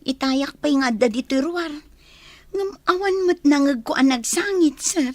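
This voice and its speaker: An actress playing a blunt, earthy helper and confidante in a radio drama. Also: female voice, high voice, lively intonation, normal speed, a little mellow, no room sound. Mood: deferential. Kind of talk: Filipino radio drama acting.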